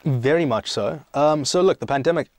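A man's voice: fairly high pitch, normal speed, no echo. A young man from South Africa and Greece who gives conversational interview answers as a startup boss, explaining emphatically and posing questions that he then answers himself. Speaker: startup CEO, podcast guest.

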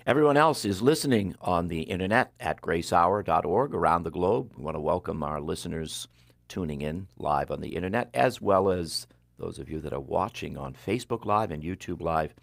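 Deep-voiced older radio host. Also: male voice, animated, gravelly, no echo. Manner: warm, measured announcer delivery with slow-read phone numbers. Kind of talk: radio broadcast announcements. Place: small broadcast studio. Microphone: headset mic.

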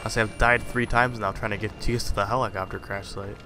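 A man with a lowish, slightly congested voice talks through a horror game in a casual, profane mutter. His voice sits over clear game sound behind him. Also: steady tone, fairly quick, background noise. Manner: profane, jumpy, muttering asides. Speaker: gamer playing a horror game. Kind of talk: casual commentary.

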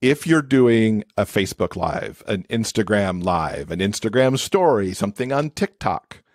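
A man's voice: fairly low pitch, expressive, measured pace, no room sound, clean studio sound. A man speaking as an interview guest, explaining things conversationally with frequent "you know" asides. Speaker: podcast guest, accessibility expert and author.

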